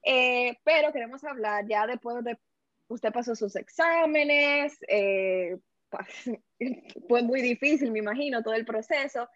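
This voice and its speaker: A woman's voice: on the high side, a bit bright, no room sound. A woman co-hosting an interview, asking long, polite, formal questions with some hesitation. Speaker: interviewer, co-host. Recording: dull dry lo-fi sound.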